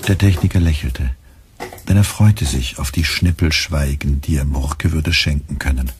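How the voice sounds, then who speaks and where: A man with a gravelly, husky voice reading wry literary narration in a German radio play. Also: very deep voice, moderate pace, darkish tone, slight background noise. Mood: wry, measured.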